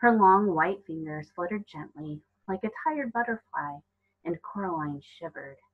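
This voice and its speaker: A woman's voice: lowish voice, expressive, measured pace, narrow, tinny audio. A woman reading a children's novel aloud as a storyteller. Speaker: storybook reader.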